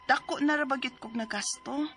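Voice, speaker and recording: A woman's voice: high-pitched, fairly quick, fairly bright tone. A woman with a Cebuano accent playing a distressed woman in her thirties, pleading for legal advice in a dramatized letter. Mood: troubled. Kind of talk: dramatized reading of a listener's letter.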